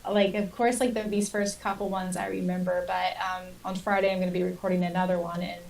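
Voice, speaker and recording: A woman's voice: lowish voice, little pitch movement, fast, vocal fry, darkish tone. Woman chatting, distant from the mic. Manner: informal, rambling anecdotes. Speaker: podcast co-host. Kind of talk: casual conversational chat.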